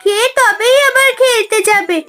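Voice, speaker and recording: A woman's voice: extremely high pitch, steady tone, normal speed, sharp tone, faint music behind. A woman voicing a cheeky, nosy little village girl in a cartoon, with a pert manner. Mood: eager. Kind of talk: cartoon dialogue acting.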